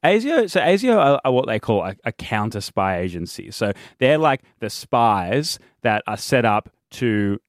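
A man's voice: mid-range voice, very animated, a little slow, hardly any pauses, no room sound, clean studio sound. A man, a guest journalist chatting on a podcast, often repeating words and laughing between points. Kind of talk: casual podcast chat.

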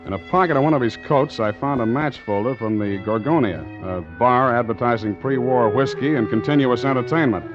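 A man's voice: medium pitch, brisk, few pauses, close to an everyday voice. A man acting a tough, secretive ship's skipper with wry, hard-boiled delivery in a 1940s radio adventure. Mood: brooding narration. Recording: muffled 1940s radio recording, background music.

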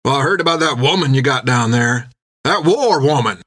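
A man voicing a gruff, red-faced tavern drinker with rustic, ungrammatical talk, in an audiobook. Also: mid-range voice, animated, moderate pace, fairly bright tone. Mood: admiring, tipsy.

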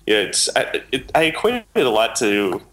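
A man's voice: mid-range voice, very animated, moderate pace, fairly bright tone. A man being interviewed as a guest, explaining things conversationally and thinking aloud. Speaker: interview guest, software maker.

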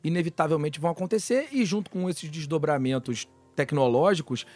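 A man co-hosting a casual technology podcast, relaxed and joking, giving everyday examples. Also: on the high side, a little fast, faint music behind. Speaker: podcast co-host. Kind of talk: casual tech podcast chat.

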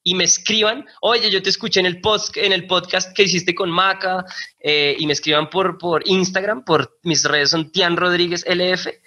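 A Colombian man in his thirties with a fairly high, bright and forceful voice. He talks as a guest in a conversational interview, motivational and emphatic, often repeating a phrase to drive it home. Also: steady tone, normal speed. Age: 30s. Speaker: podcast guest, financial educator. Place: remote call interview.

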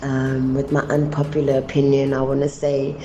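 A woman's voice: extremely low pitch, monotone, moderate pace, mellow, muffled recording, a little hiss or hum. A woman from South Africa calling in to a radio show, effusive and dramatic. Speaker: caller.

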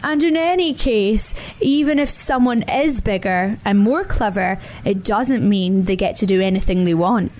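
A woman voicing a huge, grumpy old male bear who talks slowly in a low, booming way. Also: darkish tone, natural voice. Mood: scornful. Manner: slow, grumbling, then laughing.

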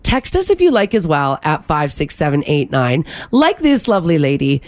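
A woman co-hosting a morning radio show, chatting lively, laughing often and reading listener texts and phone numbers. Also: low voice, normal speed.

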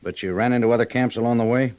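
An actor playing a skeptical frontier army major with an American accent, dry and questioning, in an old-time radio drama. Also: male voice, mid-range voice, fairly even intonation, rapid-fire, darkish tone, no room sound, close to an everyday voice. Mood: probing. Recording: dull vintage radio recording.

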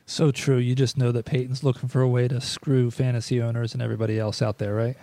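A man's voice: mid-range voice, flat delivery, quick pace, warm tone, no room sound. A man hosting a sports podcast, chatting casually and rambling a little. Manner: loose, rambling with fillers. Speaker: podcast host. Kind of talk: casual chat.

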